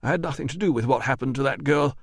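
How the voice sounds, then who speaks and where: A man voicing a panicky, blustering male employer in an audiobook, with rapid, indignant outbursts. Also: mid-range voice, no echo, clean studio sound, voice pushed up, close to an everyday voice. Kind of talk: audiobook dialogue.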